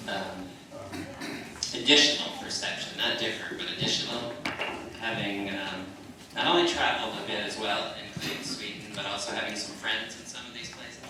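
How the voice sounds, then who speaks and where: A man's voice: on the low side, normal speed. A man on a discussion panel speaking informally, choosing and qualifying his phrases with care. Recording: very echoey, distant mic, noisy room.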